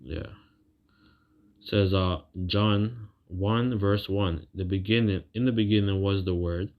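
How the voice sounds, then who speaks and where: Male street-style preacher, deep voice, loose halting delivery with repeated phrases and casual check-ins, muffled lo-fi sound. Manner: colloquial, seeks agreement with tags like "right". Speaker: religious preacher. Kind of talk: informal sermon with scripture reading.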